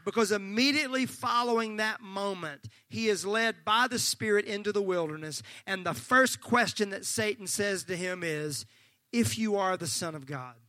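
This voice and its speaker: A male pastor preaching a sermon, fervent and folksy, joking with the congregation and breaking into shouts. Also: high voice, dry recording. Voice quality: slightly gravelly.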